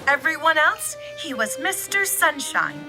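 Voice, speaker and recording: A woman's voice: high-pitched, very animated, measured pace, music in the background, heavily acted, rough recording. A woman acting in an audio drama as a bitter, skeptical middle-aged daughter, speaking in a curt, wounded way. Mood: hurt, resentful.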